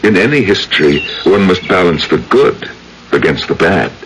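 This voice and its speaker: An actor playing a brooding, enigmatic gothic portraitist and ghostly storyteller, theatrical and deliberate, in old-time radio drama. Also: male voice, deep voice, animated, a bit bright, a little hiss or hum, rough recording. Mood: courtly, enigmatic. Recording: old radio broadcast with music bed.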